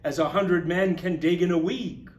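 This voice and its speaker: A man's voice: high-pitched, steady tone, moderate pace, reverberant, lightly acted. A man reading a storybook, voicing an eager, confident steam shovel operator who boasts emphatically. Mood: boastful, bright.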